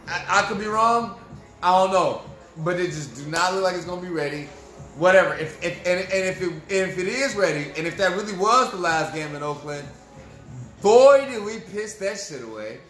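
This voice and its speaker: Profane, ranting young man, high voice. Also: moderate pace, roomy sound, rough recording. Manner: profane, animated, frustrated rant to viewers. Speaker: sports fan vlogger. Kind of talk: ranting post-game fan review. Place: room at home, indoors.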